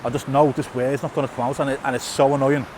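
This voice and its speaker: A man's voice: mid-range voice, little pitch movement, quick pace. Casting note: man, lisp, stutters and restarts, vlogging outdoors over faint traffic. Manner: stutters, repeats and restarts words, fillers. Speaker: YouTuber. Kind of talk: casual walk-and-talk vlog. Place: outdoors in a town, by a tunnel.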